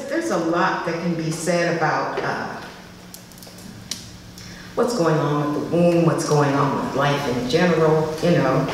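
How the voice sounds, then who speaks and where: A woman giving a live spoken-word poetry reading, with dramatic, deliberate delivery and informal asides. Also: low-pitched. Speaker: poet reading at a literary festival. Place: live public reading in a reverberant room. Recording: echoey hall, distant mic, faint noise.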